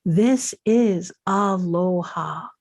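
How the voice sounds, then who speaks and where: Woman from Hawaii, middle-aged, unhurried, reverent, reflective storytelling, dwells on key words. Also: fairly low pitch, darkish tone. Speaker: doctoral candidate presenting her dissertation. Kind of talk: slow, reflective storytelling presentation.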